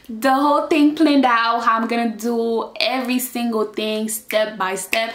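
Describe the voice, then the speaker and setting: Playful young woman, roomy sound. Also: a little high. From Haiti. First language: Haitian Creole. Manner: animated, playful, chatty. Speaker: vlogger. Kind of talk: casual Q&A vlog. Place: small room in front of a plain backdrop. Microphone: camera at head-and-shoulders framing.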